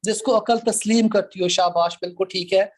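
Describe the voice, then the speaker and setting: Pakistani guy teaching a law class, really high voice, keeps asking questions and repeating stuff. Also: fairly even intonation, normal speed. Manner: explanatory, checks understanding with questions and repetition. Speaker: law lecturer. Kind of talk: interactive classroom lecture.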